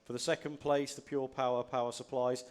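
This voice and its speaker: A man's voice: medium pitch, level tone, a little fast, smooth. A man co-hosting a live esports event, upbeat as he calls players to the stage and thanks sponsors. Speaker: co-caster and stage host.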